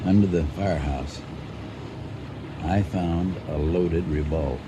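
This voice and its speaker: An actor playing a wry, skeptical older farmer husband with an American accent, dry and understated in old-time radio drama style. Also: male voice, sits low, a little slow. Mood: grave, measured. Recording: noisy old radio broadcast recording.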